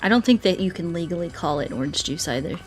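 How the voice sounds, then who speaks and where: A woman doing a casual gaming livestream, rambling playfully and thinking out loud as she chats with viewers. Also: on the low side, lively intonation, quick pace, soft background music. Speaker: streamer playing Minecraft and chatting with viewers.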